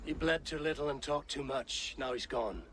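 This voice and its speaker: A man performing a scornful warrior of the Viking age in a game cutscene. His medium-pitched voice is dry and blunt, with noticeable ambient noise behind it. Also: moderate pace, fairly bright tone, close to an everyday voice. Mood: grim. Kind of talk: dramatic video game cutscene acting.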